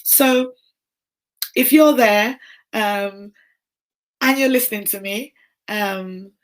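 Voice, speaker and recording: Hoarse fifties woman, warm encouraging livestream host, chatty. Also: mid-range voice, slow. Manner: warm encouraging, greets viewers by name. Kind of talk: casual encouraging livestream chat.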